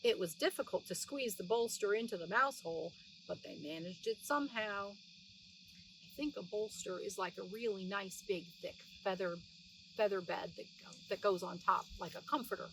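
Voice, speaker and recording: A woman's voice: medium pitch, normal speed, fairly bright tone. A middle-aged woman reads a children's story expressively and explains things simply for young listeners. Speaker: children's read-aloud narrator. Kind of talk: expressive storybook reading with plain asides to explain words. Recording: distant mic with faint background noise.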